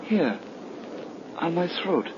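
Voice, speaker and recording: A weak, dreamy young man in a 1930s radio horror play. He speaks slowly and drowsily in a lightly high voice, through a noisy old recording. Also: sing-song, lo-fi sound. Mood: weak, puzzled.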